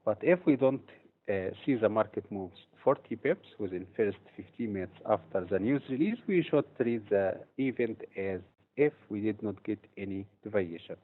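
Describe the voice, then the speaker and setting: A man from Egypt, a trading instructor, presents a webinar in a steady, explanatory way with occasional hesitations. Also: lowish voice, normal speed. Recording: tinny phone-like audio.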